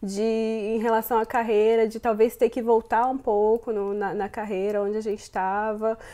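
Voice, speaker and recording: Higher-pitched Brazilian woman guest. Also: little pitch movement, normal speed, dark tone. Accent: Brazilian Portuguese. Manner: thoughtful, frequent fillers and self-repeats. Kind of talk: casual interview answers.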